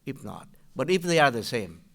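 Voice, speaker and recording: An older man from Vietnam with a Vietnamese accent, asking questions at a public meeting in a halting way, with many restarts. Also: medium pitch, big pitch swings, gravelly, a bit bright. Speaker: public commenter.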